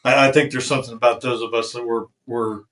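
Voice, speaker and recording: A man in his fifties speaks as a guest in a casual interview. He is soft-spoken and hesitant, and he fills his speech with ums and uhs. His sentences often trail off quietly. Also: mid-range voice, little pitch movement, quick pace. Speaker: guest, author and veteran.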